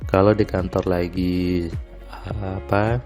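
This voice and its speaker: Low-voiced guy with a stuffed-up nose rambling calmly thru his night diary out on the terrace, soft music in the back. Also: slow pace. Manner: relaxed, rambling with frequent fillers. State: slightly nasal, stuffy voice. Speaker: motivational audio diarist. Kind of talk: casual spoken diary monologue.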